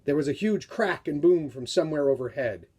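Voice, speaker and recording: A middle-aged man giving an author's talk and read-aloud for young readers, telling it in an animated, dramatic storyteller's manner. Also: a little high, fairly quick, slightly rough. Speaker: children's author reading from his book.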